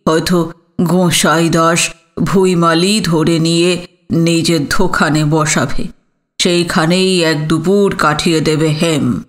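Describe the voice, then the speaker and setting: A man playing an elderly, affable, fussing gentleman in an audio story, with drawn-out, deliberate phrasing. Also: on the high side, little pitch movement. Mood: fondly exasperated. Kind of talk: audio story dramatic acting.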